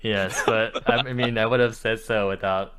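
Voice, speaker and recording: A man co-hosting a podcast, talking in a measured, clarifying way. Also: on the low side, fairly even intonation, a crowd in the background. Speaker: podcast co-host. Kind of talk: casual chat.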